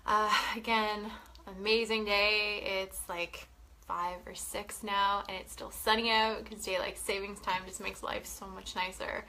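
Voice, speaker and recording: A woman's voice: medium pitch, flat delivery, unhurried, sharp tone, low-quality recording. A young woman from Canada with a head cold, sounding sniffly, talking casually and chattily to camera. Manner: chatty, swearing, frequent "like" and "you know". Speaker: wellness vlogger.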